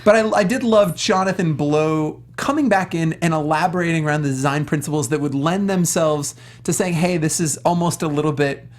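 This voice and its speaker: A man co-hosting a casual panel discussion, speaking in an animated, emphatic and self-deprecating way. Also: fairly high pitch, brisk, hardly any pauses. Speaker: talk show host.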